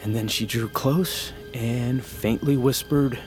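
A man playing a wounded, brooding young prince in an audio drama, bitter yet yearning, with halting, intense, formal speech. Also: medium pitch, faint music behind, natural voice. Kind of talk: dramatic audio fiction acting.

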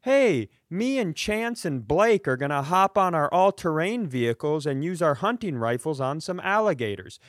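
A man's voice: on the high side, big pitch swings, normal speed, hardly any pauses, dry recording, studio quality. A man voicing a folksy, brash hillbilly with a drawling Southern American accent on a comedy podcast. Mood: eager.

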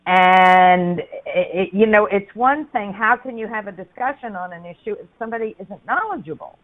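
A woman's voice: medium pitch, normal speed. An older woman hosting a radio interview, who speaks in an outspoken, emphatic way. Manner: outspoken and emphatic, with a laughing aside. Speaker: radio host. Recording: phone-like radio line.

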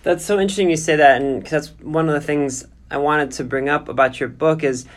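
A man's voice: on the high side, steady tone, fairly quick. A man interviewing a guest conversationally, building long, tentative questions.

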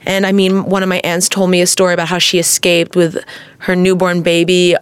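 A woman's voice: fairly low pitch, monotone, fairly quick, clean tone. A woman co-hosting a podcast in casual chat, gushing and swearing freely. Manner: chatty, swears freely, gushes with enthusiasm. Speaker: podcast co-host.

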